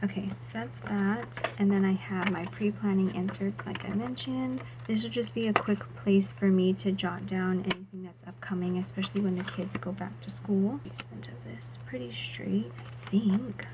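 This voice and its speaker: A woman talks through her planner setup in a soft, half-whispered voice, her throat still a little sore. Her pitch sits in an ordinary middle range. A steady hiss of background noise runs under the recording. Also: normal speed, few pauses. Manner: soft, half-whispered narration of each step. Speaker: planner vlogger. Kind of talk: casual voice-over while setting up a planner.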